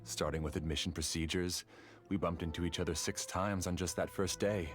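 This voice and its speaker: A man voicing an obsessive, calculating researcher in a game cutscene, courteous, measured and quietly intense. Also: deep voice, steady tone, faint music behind, natural voice. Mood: calm confession.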